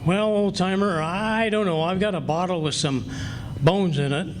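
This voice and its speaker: A man playing a grumbling, excitable comic husband in old-time radio comedy style, exasperated and wisecracking. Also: high-pitched, moderate pace, natural voice. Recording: noisy live stage recording with ambience.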